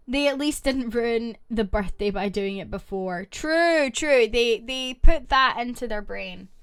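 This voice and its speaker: High-pitched woman streamer reading stories. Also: sharp tone, smooth. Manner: reads posts aloud and reacts with quick asides to chat. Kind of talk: casual live-stream reading and chat.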